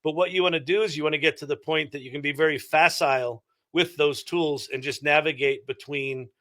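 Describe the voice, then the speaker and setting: A man's voice: fairly high pitch, fairly even intonation, a little fast, sharp tone. A middle-aged man giving casual, reflective and explanatory answers as an interview guest. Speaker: interview guest, entrepreneur and former actor.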